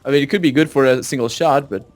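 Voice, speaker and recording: A man's voice: mid-range voice, quick pace, soft background music. A man chatting casually as a co-op gamer, sometimes reading game text aloud. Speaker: gamer, co-op let's play commentator.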